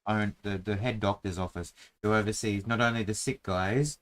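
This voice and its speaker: A man's voice: fairly low pitch, steady tone, moderate pace, fairly bright tone. A man hosting a casual chat while drawing a dungeon map, talking in a playful, rambling, self-mocking way.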